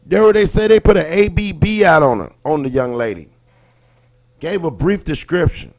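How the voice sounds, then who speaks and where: A man's voice: fairly high pitch, animated, normal speed. Emphatic American man talking to livestream viewers. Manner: colloquial, emphatic, rhetorical questions. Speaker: livestream host. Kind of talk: impassioned casual commentary, talking to live viewers.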